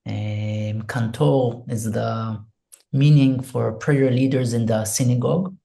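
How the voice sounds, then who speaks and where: Israeli man, second-language English, slow and gravelly, dark mellow tone, meditative interview guest. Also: medium pitch, fairly even intonation. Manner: soft, hesitant, searching for words, meditative. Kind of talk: slow reflective interview talk with a spoken prayer.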